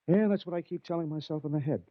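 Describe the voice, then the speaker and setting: A man's voice: fairly high pitch, fast talker, dry recording, natural voice. An actor playing a frustrated, plain-talking American betting man in a 1940s radio drama. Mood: frustrated, puzzled. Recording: dull, dry 1940s radio recording.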